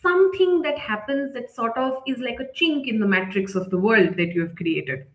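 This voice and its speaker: A woman with a fairly high voice leads an online writing lesson. She explains off the cuff, stressing points by repeating them and checking in as she goes. The sound is noticeably echoey and roomy. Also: expressive, moderate pace, a bit bright. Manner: unscripted, emphatic with repetition and check-in tags. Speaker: writing workshop instructor.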